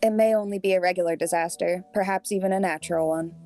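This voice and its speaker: A woman playing a terse, formal, enigmatic radio producer with a deadpan delivery. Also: fairly low pitch, fast, faint music behind, close to an everyday voice.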